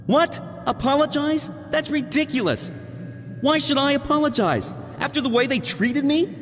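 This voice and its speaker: An actor playing an arrogant, bitter monkey villain in a video game cutscene, with a haughty, theatrical, sneering delivery. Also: male voice, extremely high pitch, lively intonation, normal speed, fairly bright tone. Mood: indignant, boastful. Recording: long reverb over game music.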